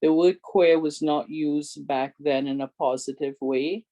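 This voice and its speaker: Older woman presenter with a big voice, unhurried and warm in tone, earnestly urging her listeners. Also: low voice, fairly even intonation. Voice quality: big, strong voice. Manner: earnest, pleading, repeats requests. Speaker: presenter and advocate. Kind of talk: community awareness talk.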